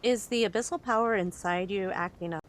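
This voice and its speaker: A woman's voice: mid-range voice, fairly quick, a little hiss or hum. A woman streaming a video game, chatting to viewers and reading the game's text aloud into a close mic.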